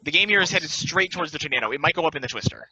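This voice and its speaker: A man playing an excitable, earnest young videographer who chases games, in an amateur play reading. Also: fairly high pitch, racing, slight background noise, voice pushed up, full character voice. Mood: excited, earnest. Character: excitable young male storm-chasing game videographer. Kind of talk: amateur play table read. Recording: dry, dull lo-fi audio.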